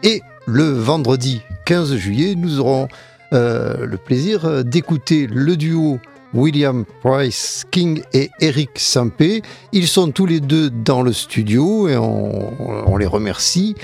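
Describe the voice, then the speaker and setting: A man's voice: mid-range voice, animated, slow, vocal fry, darkish tone, slightly rough, faint music behind. A man hosting a radio interview, announcing festival dates and introducing his guests in a friendly way. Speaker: radio host.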